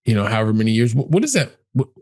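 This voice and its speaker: A man's voice: on the low side, big pitch swings, rapid-fire, a little mellow. A man hosting a podcast, asking questions thoughtfully while searching for his words. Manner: thoughtful, searching for words, many affirming murmurs. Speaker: podcast host. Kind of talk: casual interview talk.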